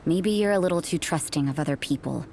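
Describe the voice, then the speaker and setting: A cool, sardonic church sister in a game, low-pitched and cutting. Her remarks are dry and mocking, with a faint hiss behind. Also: darkish tone. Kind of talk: video game cutscene dialogue.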